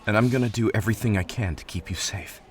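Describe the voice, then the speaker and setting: A man's voice: on the low side, fast talker, soft background music, lightly acted. A man playing a furious, protective young man with an intense, defiant manner in an audio drama. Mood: earnest, protective. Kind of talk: dramatized audio fiction acting.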